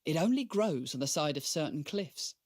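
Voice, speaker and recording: Radio drama role: man as silver-tongued merchant, courteous and formal, gently teasing, with lively, wide-moving intonation. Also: a little high, normal speed. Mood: smooth, deferential. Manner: formal, flattering, eloquent. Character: courteous, teasing male merchant, a grateful former slave. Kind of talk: scripted radio drama acting.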